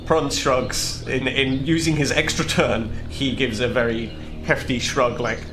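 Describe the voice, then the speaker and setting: A man's voice: mid-range voice, fairly even intonation, unhurried. A man chatting casually as a player in a tabletop role-playing game. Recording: music playing behind the voice. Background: loud music.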